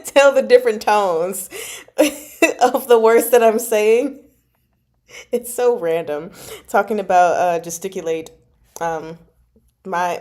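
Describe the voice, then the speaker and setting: A woman with a warm, mellow tone at a middle pitch chats casually as host of an online book club. Her delivery is expressive and giggly, like informal talk about a favorite novel with regular viewers.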